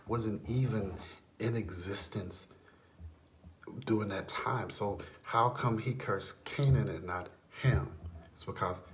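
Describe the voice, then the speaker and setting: Low-voiced man, hushed late-night talk. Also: a little hiss or hum. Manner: earnest, often drops to a hushed whisper. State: sleepless. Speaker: vlogger. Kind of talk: late-night religious monologue.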